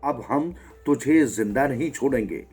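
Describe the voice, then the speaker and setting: A man's voice: medium pitch, lively intonation, unhurried, darkish tone, lightly acted. A man voicing an enraged, vengeful parent bird in a storybook tale, harsh and threatening, over music. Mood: furious. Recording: music behind the voice.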